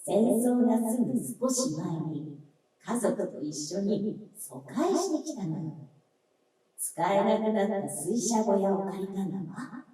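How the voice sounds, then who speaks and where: Ethereal female snow spirit for radio play, acted by a woman: slow, lyrical, swooping, distant in a reverberant stage. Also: medium pitch, big pitch swings, mellow. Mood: curious, gossipy. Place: live stage performance. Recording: very echoey stage, distant mic, music.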